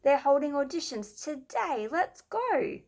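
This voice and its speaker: Storybook schoolgirl played by a woman narrator: excitable, bossy, emphatic, voice lifted high. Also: a little slow, full character voice. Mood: excited, impatient. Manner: emphatic, dramatic.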